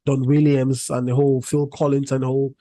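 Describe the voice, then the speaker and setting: A young man from Cameroon, a music artist, talking as a guest in a casual interview, relaxed and rambling with many restarts. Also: mid-range voice, fairly quick, no room sound, clean studio sound.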